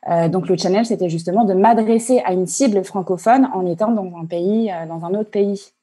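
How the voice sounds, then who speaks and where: A woman coach answering interview questions on a video call, halting and full of fillers and restarts. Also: mid-range voice, mellow, husky. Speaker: online coach and entrepreneur.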